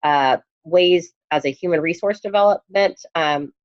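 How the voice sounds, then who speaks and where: A lowish-voiced woman lecturing an online class, her voice worn and hoarse as she talks into a headphone mic. She pauses with frequent ums between points. The recording sounds dull and lo-fi. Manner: hesitant, many ums. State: losing her voice. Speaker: instructor.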